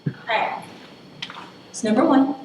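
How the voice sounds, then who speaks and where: A young woman with a soft, fairly high voice lectures in a chatty, self-deprecating way and keeps talking straight to the crowd. She is picked up from a distance at a lectern, and a long hall reverb trails behind her words. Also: a little slow, slight background noise. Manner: chatty, self-deprecating, addresses audience directly. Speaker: political science lecturer. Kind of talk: public lecture. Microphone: distant mic at a lectern. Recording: echoey hall from far off.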